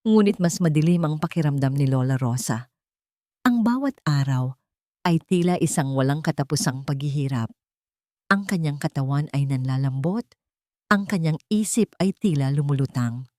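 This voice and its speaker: Female storyteller, scripted dramatic narration, slightly low pitch, dry studio-like sound. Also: expressive, moderate pace, dark tone, no echo.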